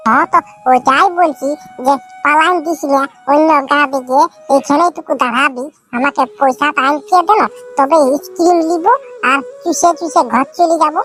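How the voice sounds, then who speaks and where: A man's voice: very high-pitched, normal speed, sharp tone, faint music behind, poor audio. A man voicing a demanding, wheedling young boy in a comedy cartoon, with a Purulia Bengali accent. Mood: cheeky, bossy. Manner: wheedling, insistent, repetitive. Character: whiny, demanding young boy begging and threatening tantrums.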